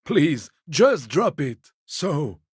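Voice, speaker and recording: An actor playing a fussy, timid old gourmand gentleman in a video game, a husky voice and a polite, hesitant, slightly pompous manner. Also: on the high side, big pitch swings, very slow, no echo, clean studio sound, full character voice. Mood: pleading, fussy. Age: older. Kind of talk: video game character dialogue.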